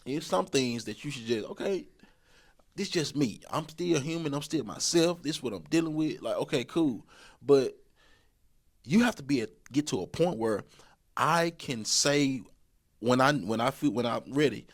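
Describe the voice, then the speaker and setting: A man in his thirties talking casually on a podcast at a middle pitch. He rambles and repeats words, with easygoing colloquial speech and frequent laughs. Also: animated, normal speed. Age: 30s. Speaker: podcast co-host. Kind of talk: casual relationship advice talk.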